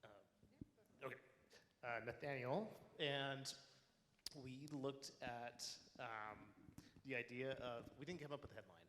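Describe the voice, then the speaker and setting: A man's voice: mid-range voice, fairly even intonation, measured pace, long pauses, a bit bright. A man at a community forum sharing his group's ideas in a rambling way, full of "um" and "uh". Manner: rambling, filled with "um" and "uh". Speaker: forum attendee. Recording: echoey hall with faint noise.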